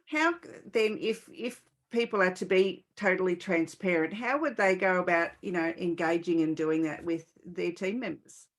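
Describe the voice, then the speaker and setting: Lower-pitched woman hosting a podcast. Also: moderate pace, hardly any pauses. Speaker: podcast host. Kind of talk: casual conversational interviewing.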